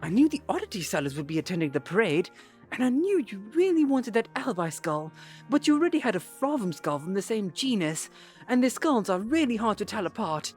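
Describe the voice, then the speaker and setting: A woman's voice: mid-range voice, very animated, fairly quick, a little mellow, faint music behind, lightly acted. A woman playing a polite, eager, slightly flustered hostess in a comedic audio drama. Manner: earnest, hesitant, searching for words.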